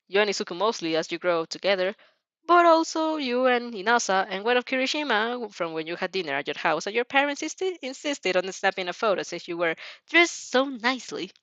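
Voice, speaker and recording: A woman's voice: fairly high pitch, a bit bright, dry recording, muffled recording. A woman reading fan fiction as an amateur, narrating expressively and sometimes stumbling over or repeating words. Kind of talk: expressive story narration with character voices.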